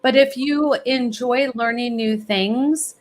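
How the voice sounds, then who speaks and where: A middle-aged woman from California answers interview questions with steady, encouraging confidence. Her voice sits a little high and stays upbeat and assured. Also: takes it slowly. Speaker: guest, college planning executive.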